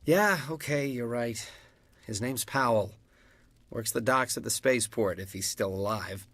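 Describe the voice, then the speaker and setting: A man's voice: medium pitch, a little slow, a bit bright, dry recording. A man playing a reluctant, loyal colonist informant in a video game, speaking in a subdued way. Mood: resigned. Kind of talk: video game cutscene acting.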